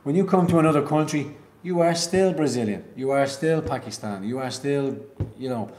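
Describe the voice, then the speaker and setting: A man's voice: medium pitch, normal speed, a little mellow, roomy sound. A man from Ireland hosting a casual live stream, talking bluntly and emphatically and swearing. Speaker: livestream host.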